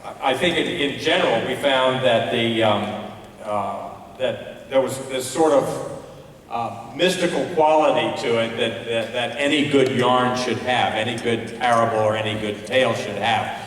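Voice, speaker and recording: A man giving informal spoken feedback as an awards judge, halting as he searches for words. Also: mid-range voice, a bit bright. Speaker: festival adjudicator giving feedback on plays. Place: large hall at a school ceremony. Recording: very echoey hall, distant microphone.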